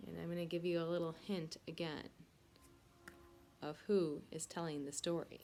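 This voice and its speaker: A woman reading a storybook aloud for children in an expressive, teacherly way, prompting her listeners. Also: fairly low pitch, moderate pace, slight background noise. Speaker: storybook reader.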